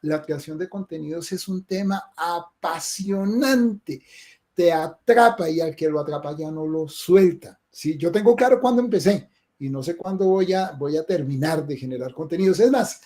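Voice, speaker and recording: A Colombian man hosting a webinar over a video call, a game streamer who chats casually and tags his remarks with quick asides. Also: on the high side, warm tone.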